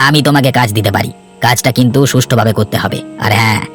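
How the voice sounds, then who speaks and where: A man performs a villainous city trader in a cartoon tale. He talks fast in a medium pitch, sly and self-assured as he coaxes, over background music. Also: fairly bright tone, lightly acted. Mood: scheming, coaxing. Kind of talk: Bengali cartoon fairy-tale dialogue.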